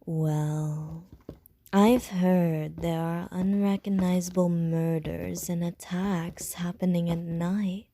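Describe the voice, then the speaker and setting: Brief: woman playing a seductive female vampire, very slow and low-pitched, dark mellow tone, sly whispered teasing. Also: fairly even intonation, no echo. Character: flirtatious predatory female vampire stalking a victim. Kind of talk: ASMR audio roleplay.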